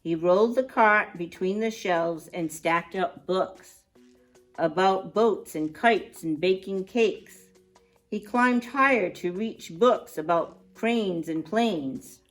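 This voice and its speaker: A woman reading a picture book aloud to young children in an expressive storytime style, drawing out words for emphasis and adding chatty asides. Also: medium pitch, slow, faint music behind.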